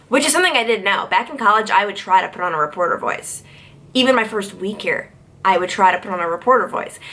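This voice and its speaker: Young woman in her twenties, from Long Island, New York, casual vlog Q&A, bright voice, chatty and full of "like". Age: 20s. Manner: chatty, lots of "like", pitch jumps high when excited. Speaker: TV news reporter and vlogger.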